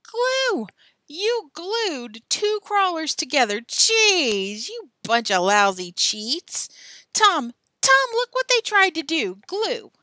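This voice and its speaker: Woman acting out an excitable, indignant young boy. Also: on the high side, moderate pace, breathy edge, no echo, studio quality, pitched above the performer's own voice, heavily acted. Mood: outraged. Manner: indignant, shouting outbursts. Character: excitable, suspicious schoolboy accusing friends of cheating.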